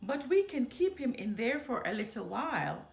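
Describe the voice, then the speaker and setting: A spirited old island grandmother from a storybook played by a woman reader. She is decisive and practical, with an animated, fairly high delivery. The room has audible echo. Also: normal speed, creaky. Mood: firm.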